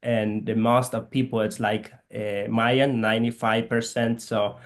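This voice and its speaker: Guatemalan guy, k'iche' first language, halting english, keeps hunting for words. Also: on the low side, level tone. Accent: Guatemalan Spanish. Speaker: guest, physical therapist missionary. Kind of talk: conversational interview.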